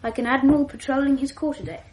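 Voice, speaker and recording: A woman's voice: sits high, fast, darkish tone, a little hiss or hum, natural voice, low-quality recording. A woman playing a clever, self-assured twelve-year-old English schoolboy who talks in a precocious, wordy, self-deprecating way, in a radio drama. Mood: reading aloud. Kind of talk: 1980s radio drama acting.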